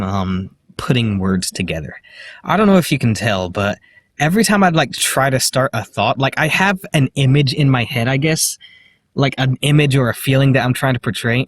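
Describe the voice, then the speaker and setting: A man with a slight lisp, talking to the camera in a halting, hesitant way, full of fillers and restarts. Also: mid-range voice. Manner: quiet, self-deprecating. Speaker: vlogger. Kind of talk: casual self-recorded monologue.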